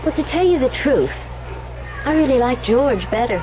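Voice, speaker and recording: High-voiced, trusting young woman. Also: expressive, moderate pace, noisy background. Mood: dreamy, cheerful. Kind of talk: 1970s radio drama acting. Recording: dull, band-limited 1970s radio recording.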